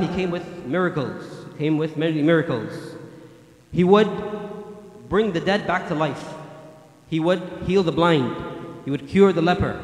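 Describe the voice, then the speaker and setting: Man preaching in a reverberant mosque. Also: a little high. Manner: recites Quranic Arabic in a fast chant between English explanation; emphatic, repetitive preaching with gestures. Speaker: imam giving Friday sermon. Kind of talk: sermon. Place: large mosque prayer hall. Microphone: standing mic on a stand. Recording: echoey mosque hall with long reverb.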